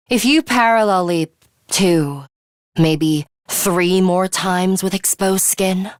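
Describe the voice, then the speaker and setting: A woman playing a serious, composed young female fighter in a video game, dryly scolding and sharp-tongued but caring. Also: mid-range voice, no room sound, clean studio sound. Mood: stern warning. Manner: measured, stern, dryly scolding.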